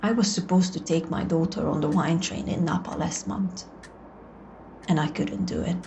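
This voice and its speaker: A woman playing a troubled mother who confides quietly in a therapy group, in an audio drama. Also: low-pitched, fairly even intonation, moderate pace, gravelly. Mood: subdued, regretful. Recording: dull, faintly noisy sound.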